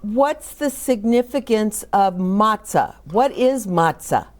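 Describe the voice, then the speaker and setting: Female story-time host, unhurried, very expressive pitch, reads to children with explanatory asides.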